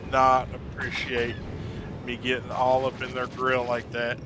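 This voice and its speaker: Male gamer, unhurried slow delivery, medium pitch, casual laid-back co-op chat over clear game noise. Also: completely flat delivery. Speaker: gamer playing co-op. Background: space combat game sounds.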